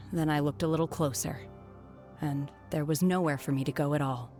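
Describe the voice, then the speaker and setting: A detached young woman from a fantasy game, voiced by an actress. Her voice sits a little low and stays calm and quiet. She speaks in measured, reflective phrases. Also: over music, natural voice. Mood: wistful. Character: aloof, lonely young woman exorcist. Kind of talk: game voice acting.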